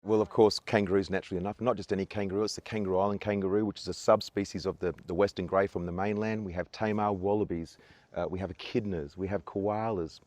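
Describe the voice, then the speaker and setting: An Australian tour guide speaks at a brisk clip in a deep, low voice. He talks with earnest enthusiasm and comes back to the same praise again and again. The recording is dry, with no room echo. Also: fairly even intonation, darkish tone. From Adelaide, South Australia. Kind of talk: casual interview answers. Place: outdoors on an island.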